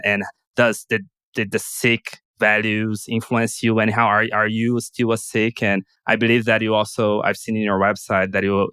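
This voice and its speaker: Smooth low-voiced podcast host. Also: male voice, fairly bright tone, no echo, studio quality. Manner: earnest, searching for words. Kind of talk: interview questions.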